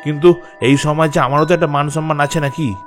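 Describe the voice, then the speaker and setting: A man's voice: a little high, fairly even intonation, brisk, faint music behind, close to an everyday voice. A man playing an indignant, status-proud villager in an audio story, haughty and confrontational. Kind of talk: Bengali audio story acting.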